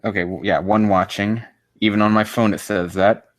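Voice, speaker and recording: A man's voice: on the low side, fairly even intonation, moderate pace, no echo, studio quality. A man on a casual livestream reading fan fiction aloud, breaking off into rambling, profane, exasperated commentary.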